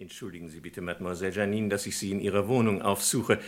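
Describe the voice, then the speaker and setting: A man's voice: sits low, fairly even intonation, fast talker, loud music behind the voice, natural voice. An actor playing a courteous, methodical male investigator questioning people in a German crime radio play. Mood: politely probing. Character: polite, probing male investigator in French crime mystery.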